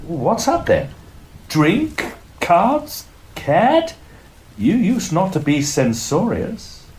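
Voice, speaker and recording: Lively, higher-voiced young British gentleman student. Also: extremely slow, exaggerated voice, rough recording. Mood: dry, curious. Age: young adult. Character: genial, studious young Victorian Oxford freshman. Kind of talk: audio drama acting.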